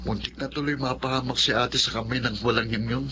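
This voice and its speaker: Actor as a protective young son, lo-fi. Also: male voice, mid-range voice, fairly even intonation, brisk, pushed and bright, a little hiss or hum, close to an everyday voice. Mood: resentful. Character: protective young son, polite to elders, fierce for his sister. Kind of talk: radio drama acting. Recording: dull lo-fi audio under music.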